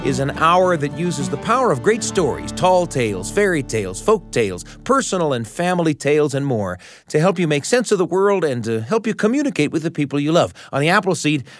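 A man's voice: fairly high pitch, no echo. A man hosting a radio show from a studio, giving a scripted but conversational introduction with emphatic, storyteller-like phrasing.

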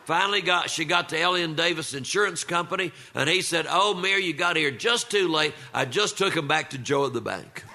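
A man's voice: a little high, animated, fairly quick, few pauses, sharp tone, a little hiss or hum. An older man telling humorous family stories in a folksy, conversational manner, acting out the dialogue of relatives as he goes. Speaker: storyteller. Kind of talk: live humorous family storytelling.

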